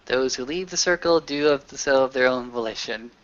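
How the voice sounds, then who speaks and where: A man playing a solemn, formal werewolf leader who speaks in a measured, ceremonious way in a tabletop game. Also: medium pitch, fairly bright tone, highs cut off, close to an everyday voice. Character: solemn, formal male werewolf elder presiding over a circle. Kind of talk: in-character tabletop roleplay.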